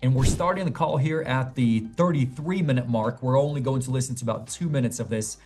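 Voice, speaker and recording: A man working as a sales trainer, giving measured, explanatory instruction. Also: medium pitch, darkish tone, faint music behind. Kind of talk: instructional narration.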